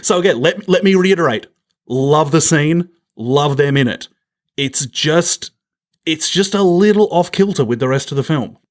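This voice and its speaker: Animated man on a film podcast. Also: fairly high pitch, moderate pace. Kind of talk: casual film discussion.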